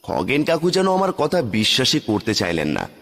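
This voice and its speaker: A man tells a ghost story in dramatic first-person narration. His mid-pitched voice rises and falls expressively, and it carries a long reverb. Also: wildly swooping pitch, normal speed, a bit bright.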